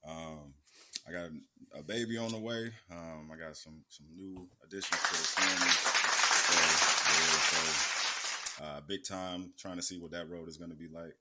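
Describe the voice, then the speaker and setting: A young man, a football player co-hosting a podcast, chatting in a relaxed and plain-spoken way. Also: low voice, measured pace, a loud crowd, heavy background noise. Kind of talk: casual chat.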